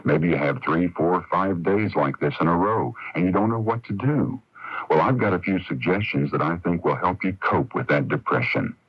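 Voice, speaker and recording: A man's voice: sits low, normal speed, breathy edge, dry recording. A man speaking plainly and directly in a scripted inspirational radio message. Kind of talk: scripted counselling monologue. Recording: dull lo-fi 1980s AM radio recording.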